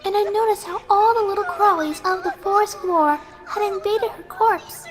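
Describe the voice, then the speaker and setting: Super high girly pony voice, gentle n sweet but totally unhinged, horror story, music under it. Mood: serene rapture. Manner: gentle, sweet, unhurried while menacing. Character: soft-spoken, serenely deranged young female pegasus pony. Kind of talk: horror fan fiction reading. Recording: music behind the voice.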